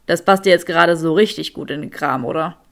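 A man's voice: a little high, a little fast, creaky, voice pushed down. A woman voicing an arrogant, teasing young male wizard with a dry, pompous manner in an audiobook.

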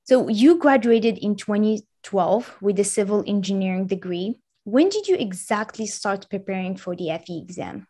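A woman's voice: mid-range voice, animated, moderate pace, few pauses, dark tone. A young woman hosts an exam-prep interview, speaking into a desk microphone in a small room. She sounds upbeat and encouraging as she introduces her guest and asks him questions. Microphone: desk microphone in frame.

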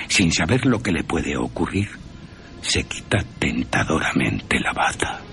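A man's voice: very deep voice, fairly bright tone. A man with an accent from Spain narrating a story for radio in a wry, theatrical, dramatic manner. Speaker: narrator and presenter.

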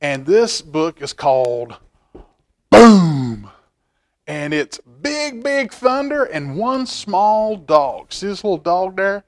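A middle-aged man reading a picture book aloud to children in a folksy, expressive way, with chatty asides. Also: high-pitched, takes it slowly. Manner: folksy, expressive, asking the listener questions. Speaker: library storytime reader. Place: indoor library children's room.

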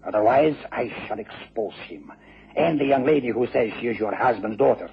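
An actor playing a smug, menacing gentleman blackmailer with mock-courteous, gloating manners in a radio drama. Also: medium pitch, a little fast, gravelly, natural voice. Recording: dull, noisy old radio recording.

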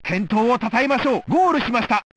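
A man playing an excitable 1960s sports announcer, calling events in a formal, dramatic, declamatory broadcast style for a video game. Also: extremely high pitch, fast talker, slight background noise. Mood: thrilled, shouting. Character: excitable male 1964 Olympic TV and radio sports announcer. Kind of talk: retro 1960s Japanese sports broadcast commentary.

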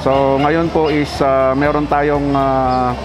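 Man, health official, medium pitch, halting with fillers, loud music behind. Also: little pitch movement, rough recording. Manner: halting, many fillers, polite "po". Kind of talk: on-site interview.

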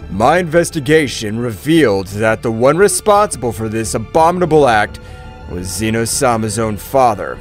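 A man voicing a calm, solemn high priest who speaks in a grave, measured, formal way, in a fan fiction story. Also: soft background music, lightly acted.

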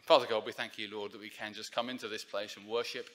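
A man's voice: on the low side, flat delivery, quick pace, a bit bright. A man, preaching an informal, chatty sermon at a church lectern, with many fillers and restarts. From Essex, England. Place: church sanctuary. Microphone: lectern microphone.

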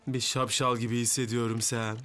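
A man's voice: medium pitch, little pitch movement, moderate pace, over loud music, natural voice. A man playing a clumsy, earnest, self-doubting young firefighter in a children's cartoon, with a fretful way of talking. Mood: dejected. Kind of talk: children's cartoon dub acting.

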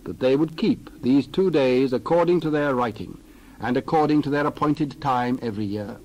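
A man narrating a dramatized scripture reading in a measured, solemn way with deliberate phrasing. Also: mid-range voice, a little mellow, low-quality recording. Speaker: Bible narrator.

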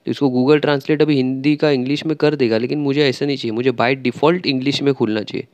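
A man's voice: mid-range voice, steady tone, fairly quick, darkish tone. A man talking through a screen-recorded tutorial step by step, chatty and loose, with frequent filler sounds. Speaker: tech tutorial creator.

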